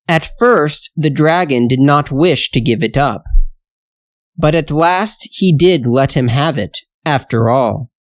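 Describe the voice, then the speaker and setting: A narrator reading a children's storybook tale for language learners in a slow, deliberate, measured way. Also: medium pitch, no echo, clean studio sound. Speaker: storyteller, English teacher.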